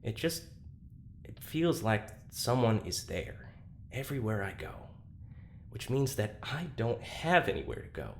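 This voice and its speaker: An actor playing a troubled, anxious young man in a confessional audio drama, speaking haltingly with self-deprecating, dry sarcasm. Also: medium pitch, big pitch swings, vocal fry, slight background noise. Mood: weary, withdrawn.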